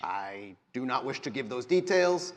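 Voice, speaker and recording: A man voicing an evasive, frightened man in a tabletop game, pleading and halting in his questions. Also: medium pitch, lively intonation, heavy background noise, natural voice. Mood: frightened, stalling. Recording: live convention show recording.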